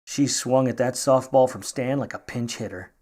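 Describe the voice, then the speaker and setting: An actor playing a wry, wisecracking private eye in a 1950s noir radio play, narrating and trading slangy lines. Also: male voice, medium pitch, moderate pace, warm tone, close to an everyday voice. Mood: wry, brooding. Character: wry, grudging hardboiled male private eye.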